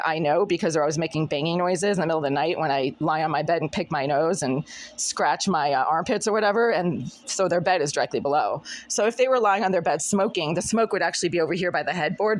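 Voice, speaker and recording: A middle-aged woman with a scratchy, husky voice, talking insistently and repetitively to a phone camera in her apartment. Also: on the low side. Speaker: vlogger. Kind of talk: monologue to camera. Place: apartment room.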